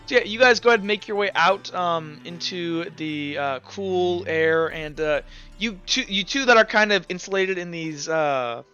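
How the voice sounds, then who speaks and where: A man running a tabletop game, improvising narration in a halting, casual way. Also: sits high, sharp tone, highs cut off, soft background music. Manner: halting, casual, full of "like" and "kinda". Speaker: game master.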